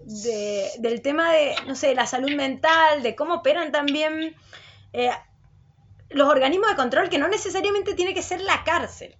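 A woman's voice: high voice, normal speed, a bit bright, highs cut off. A woman with a Chilean accent asking questions in a casual interview, hesitant and searching for her words. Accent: Chilean Spanish. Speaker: radio interviewer.

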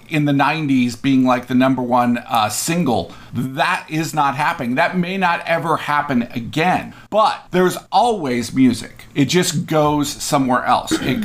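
A man co-hosting a podcast in casual chat, rambling with fillers and fond of puns. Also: medium pitch. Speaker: podcast co-host.

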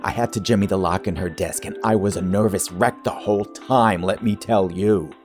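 An actor playing a fretful, gossipy office assistant in a satirical audio drama, anxious in manner. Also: male voice, fairly low pitch, normal speed, a little mellow, soft background music, natural voice. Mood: nervous, confiding. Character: nervous, fretful office assistant named Franklin.

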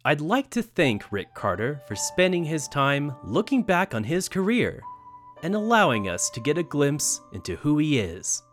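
A man's voice: a little high, big pitch swings, normal speed, fairly bright tone. A man hosting a show, reading a scripted closing narration. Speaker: host. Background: music.

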